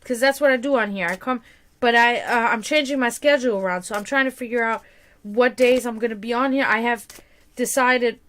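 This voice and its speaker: A woman's voice: a little high, a little fast, a bit bright. A woman in casual livestream chat, giving tarot readings, rambling and laughing often.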